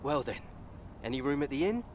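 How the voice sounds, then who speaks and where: Actor playing angry young man, radio soap, hurt, mid pitch, light outdoor vehicle noise. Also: big pitch swings, brisk, a little mellow, lightly acted. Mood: agitated. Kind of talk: naturalistic radio soap acting.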